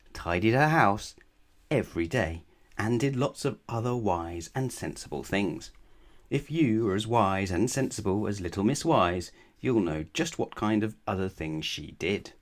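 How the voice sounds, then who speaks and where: A man reading a children's storybook aloud in a measured, expressive way with emphatic phrasing. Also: lowish voice. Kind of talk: children's bedtime story narration.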